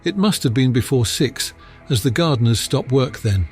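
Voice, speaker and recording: A man's voice: medium pitch, expressive, normal speed, darkish tone, faint music behind, pitched above the performer's own voice, lightly acted. A man voicing a blunt, confident Scotland Yard inspector in an audiobook, speaking in a matter-of-fact, colloquial way. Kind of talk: acted audiobook dialogue.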